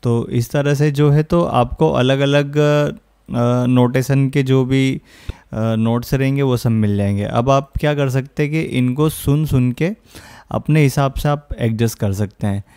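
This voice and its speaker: A man giving an informal, step-by-step software tutorial as a music production host. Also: medium pitch, unhurried, clear, resonant tone, no room sound, studio quality. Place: close, dry indoor voice-over.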